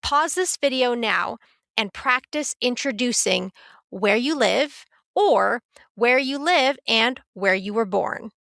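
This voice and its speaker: A woman teaching a beginner English lesson in a careful, clear, scripted way. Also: a little high, animated, takes it slowly, fairly bright tone, no room sound, studio quality. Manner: clear, careful, instructive delivery.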